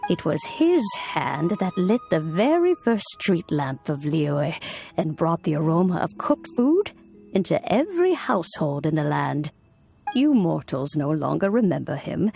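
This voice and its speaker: An actress playing an ageless, wise immortal woman in a video game, telling old tales in a solemn, measured, formal way. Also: fairly low pitch, creaky, dark tone, full character voice. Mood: solemn, nostalgic. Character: ageless immortal adeptus woman, wise, stately, nostalgic storyteller. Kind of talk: dramatic video game storytelling.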